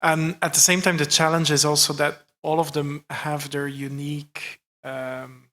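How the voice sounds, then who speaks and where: A man's voice: on the high side, level tone, measured pace, sharp tone. A man on a conference panel, speaking thoughtfully and building up his points as he goes. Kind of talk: panel discussion.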